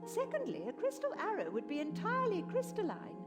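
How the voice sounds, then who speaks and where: A woman's voice: very high-pitched, wildly swooping pitch, brisk, over loud music, heavily acted. A woman playing a proper, easily affronted wizard scholar in a fantasy video game, speaking formally and precisely. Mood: cautious, measured. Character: esteemed female wizard of Saradomin, proper and indignant scholar.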